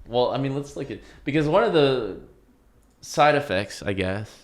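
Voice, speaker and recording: A man's voice: mid-range voice, expressive, normal speed. A man co-hosting a podcast, talking in a loose, rambling and opinionated way. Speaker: podcast co-host. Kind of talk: casual chat.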